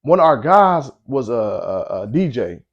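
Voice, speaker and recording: A young man from Milwaukee, a rapper guest in a casual interview, telling stories in a relaxed way with lots of slang and fillers. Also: unhurried, dull audio. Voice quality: deep voice. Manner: laid-back storytelling with slang and frequent fillers.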